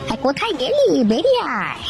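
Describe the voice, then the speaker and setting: A woman's voice: very high voice, wildly swooping pitch, fast talker, exaggerated voice. A woman voicing a bossy, threatening male estate manager in a cartoon. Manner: domineering and threatening, delivers warnings. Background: background music.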